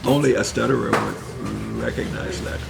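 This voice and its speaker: An older man, a minister and retired engineer, telling his story in an interview in a measured, reflective way, with a mild stutter and occasional word swaps. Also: on the low side, huge pitch swings, gravelly, over loud music. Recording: loud background noise.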